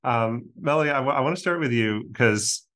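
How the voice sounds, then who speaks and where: A man's voice: medium pitch, normal speed, fairly bright tone. A man in his thirties hosting a political podcast, moving between a polished scripted opener and hesitant, chatty questions. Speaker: podcast host. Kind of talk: scripted intro, then casual hosting.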